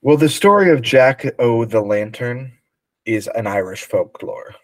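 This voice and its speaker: A man co-hosting a podcast as quizmaster, explaining answers casually in a measured way. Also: medium pitch, lively intonation, no room sound.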